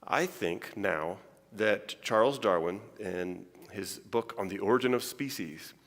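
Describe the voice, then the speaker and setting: A man's voice: medium pitch, fairly bright tone. A male scientist giving a chatty, joking conference talk, often restarting his sentences. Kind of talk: informal conference lecture. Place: large church hall. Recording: long hall reverb.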